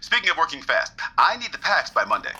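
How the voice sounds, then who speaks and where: An actor playing a pushy showbiz producer who bosses people around on the phone. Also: male voice, a little high, very animated, bright, forceful tone, breathy edge, heavily acted. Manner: pushy, brisk, bossy. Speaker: theatre producer.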